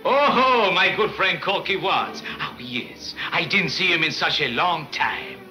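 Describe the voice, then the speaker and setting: A sly French underworld fixer, with a very bright, cutting voice. He is ingratiating in broken English, in an old film serial with faint music behind him. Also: sits high, animated, unhurried, full character voice. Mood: falsely friendly. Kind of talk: 1940s film serial acting.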